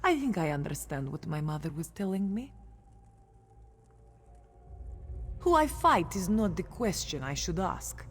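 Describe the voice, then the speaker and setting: A woman's voice: lowish voice, very animated, moderate pace, slightly rough. An actress playing a proud, grieving young cat-folk warrior woman in a video game, with earnest dramatic delivery. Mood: solemn, reflective. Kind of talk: video game character dialogue.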